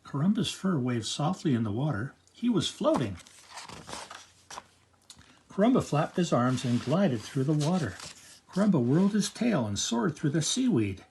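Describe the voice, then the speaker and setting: An older man reading a picture book aloud to children as a grandfatherly storyteller, with expressive narration. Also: on the high side, normal speed, a little hiss or hum, rough recording.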